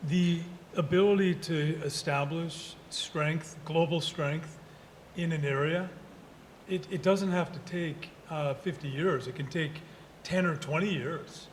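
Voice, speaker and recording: A man with a somewhat high voice speaks on a conference panel. He asks the audience questions and then answers them himself. A long hall reverb and a faint hiss sit behind him. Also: slow pace. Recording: echoey hall with faint noise.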